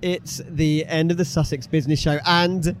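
Hoarse, upbeat man hosting. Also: fairly high pitch, level tone, slight background noise. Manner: enthusiastic, quick to praise. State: slightly hoarse. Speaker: host interviewing exhibitors. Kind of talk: upbeat vox-pop interviewing. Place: business show floor. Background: exhibitors packing up.

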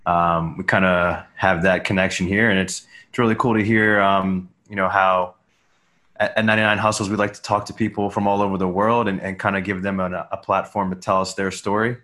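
Low-voiced rambling man co-hosting a podcast. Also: little pitch movement, fairly quick, a bit bright. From United States. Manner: rambling, filler-heavy questions. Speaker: podcast co-host. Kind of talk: casual podcast interview.

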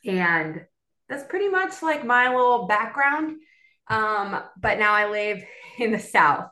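A woman's voice: medium pitch, takes it slowly. Chatty woman in an echoey room. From South Florida. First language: bilingual Spanish and English. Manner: animated, chatty, frequent "like" and "um". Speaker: podcast guest. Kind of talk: casual conversational storytelling.

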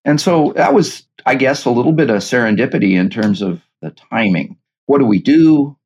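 A man in his sixties with a West Coast US accent, from the Pacific Northwest, telling a story in a relaxed, rambling way and repeating words as he thinks. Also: mid-range voice, moderate pace, breathy edge, muffled recording. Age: 60s. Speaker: guest expert. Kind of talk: casual conversational storytelling.

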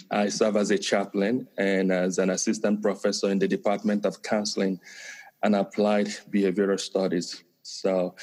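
A man from Nigeria with a Nigerian accent, a priest and counseling professor answering interview questions in a measured way with many pauses. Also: low-pitched, darkish tone. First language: Igbo. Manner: measured, many pauses and fillers, light laughs.

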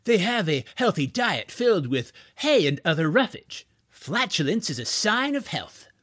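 A man voicing a smooth-talking stable salesman with superficial charm in an audiobook. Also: high-pitched, very animated, moderate pace, a bit bright, no room sound, clean studio sound, voice pushed up, heavily acted.

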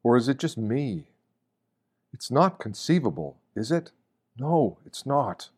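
A man reading a scripted devotional meditation like a sermon, solemn and deliberate, posing rhetorical questions. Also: mid-range voice, sing-song, a little mellow, breathy edge. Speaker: reader of a Lenten meditation.

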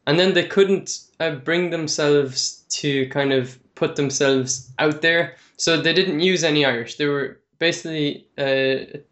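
A male podcast host with a slightly raised pitch asks his guest questions in a friendly, chatty way. He leads with casual framing and loose asides. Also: steady tone, a little slow, few pauses, a bit bright. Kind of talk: podcast interview.

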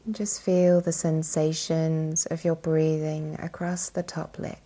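A woman leading a guided yoga nidra meditation as instructor, calm and soothing, with a soft, breathy voice and long pauses. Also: low voice.

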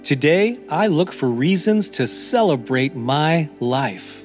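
A man reading scripted motivational affirmations as a podcast host, in a deliberate, encouraging manner. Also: fairly high pitch, lively intonation. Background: new-age music.

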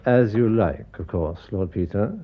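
An actor playing a distinguished, controlled surgeon in a radio drama, formal and courteous with an educated English accent. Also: male voice, on the low side, lo-fi sound. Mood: suave, controlled. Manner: measured, polite, professional.

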